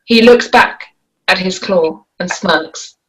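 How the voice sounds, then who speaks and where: A woman's voice: on the low side, slow, fairly bright tone, rough recording. A woman reading stage directions as scripted narration for a screenplay.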